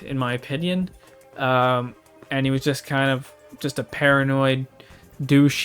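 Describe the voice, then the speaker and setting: Young male host, casual and halting, smooth tone, light music bed. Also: a little high. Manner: rambling, hesitant, many restarts. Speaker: gaming video host. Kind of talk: casual unscripted commentary.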